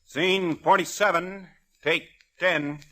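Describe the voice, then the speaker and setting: Bright-voiced film crew assistant. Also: male voice, sits high, fairly even intonation, takes it slowly, full character voice. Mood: brisk, dutiful. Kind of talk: 1940s radio drama acting.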